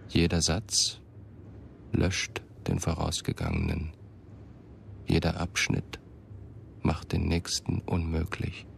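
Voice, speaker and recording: Brooding radio play narrator, super gravelly, slow and measured, introspective. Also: male voice, low-pitched, little pitch movement, a little hiss or hum, heavily acted. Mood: brooding, detached. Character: brooding first-person narrator, disoriented yet lucid. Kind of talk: scripted radio drama narration.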